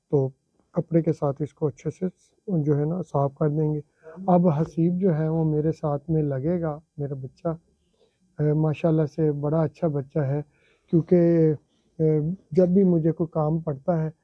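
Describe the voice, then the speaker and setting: A middle-aged man with a husky, slightly high voice, chatting politely to viewers as he explains each step of washing a car. He is in a home garage, with the sound dry and close. The phone recording is muffled and lo-fi. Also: no echo. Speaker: vlogger. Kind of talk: casual how-to narration.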